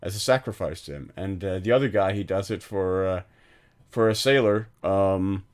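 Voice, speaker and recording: Man, lowish voice, film podcast co-host, rambling casual chat, chuckles while recapping scenes. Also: normal speed. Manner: rambling, full of ums and restarts, laughs while retelling scenes.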